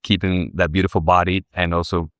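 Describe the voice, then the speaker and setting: A man's voice: low voice, flat delivery, fairly quick, fairly bright tone, no room sound. A man interviewing a pageant queen, asking plain, direct questions.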